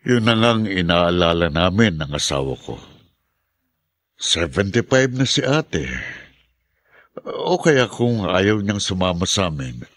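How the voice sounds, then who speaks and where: A man's voice: sits low, sing-song, measured pace, long pauses, a bit bright, no room sound, full character voice. A man acting a worried, mild-mannered brother in a radio drama.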